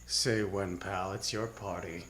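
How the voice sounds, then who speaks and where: A man's voice: low-pitched, steady tone, a little slow, fairly bright tone. A man playing a cold, menacing gunman who needles his victim with mocking gangster talk in an old-time radio crime drama. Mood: mocking menace. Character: menacing bald male gunman, cold taunting killer. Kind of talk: 1940s radio crime acting. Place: live radio drama on a theatre stage. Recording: live theatre stage, faint music.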